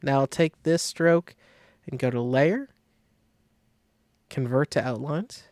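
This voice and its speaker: A man giving a casual step-by-step software tutorial, thinking aloud informally as he demonstrates. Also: medium pitch, slow, dry recording. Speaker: design tutorial instructor.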